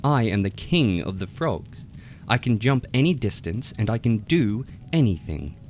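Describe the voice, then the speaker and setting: A man's voice: fairly low pitch, very animated, measured pace, a little mellow, pitched above the performer's own voice. A man voicing a small, boastful male frog king in a folktale, smug and theatrical. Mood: boastful challenge. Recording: noticeable background noise.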